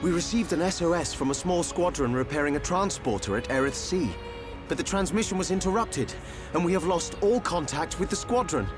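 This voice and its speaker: An actor playing a dutiful soldier in an animated game, formal and urgent as if reporting to superiors. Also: male voice, on the high side, fairly quick, music in the background, lightly acted.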